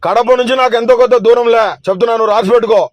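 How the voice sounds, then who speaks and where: A man's voice: very high-pitched, fairly even intonation, rapid-fire, dry recording. A man arguing heatedly over the phone, threatening and insistent, repeating himself. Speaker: political party loyalist on a phone call. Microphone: phone call.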